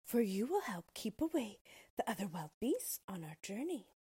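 A woman's voice: medium pitch, very animated, moderate pace, mellow, breathy edge. A woman doing an earnest young girl.

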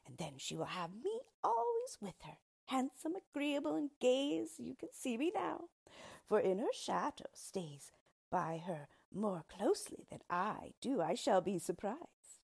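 A woman voicing an ugly little yellow dwarf man from a storybook, smug, sly and disdainful, speaking in a gloating, mock-polite, emphatic way. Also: a little high, big pitch swings, a little slow, vocal fry, breathy edge, voice pushed up, full character voice. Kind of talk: storybook character reading.

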